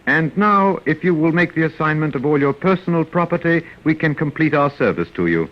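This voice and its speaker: A courteous robot servant, played by a man in an old radio play, speaking rather high with lively, formal intonation. It is relentlessly helpful and quietly menacing. The recording is muffled and vintage. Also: brisk, close to an everyday voice. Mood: polite menace. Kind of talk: 1950s radio drama acting.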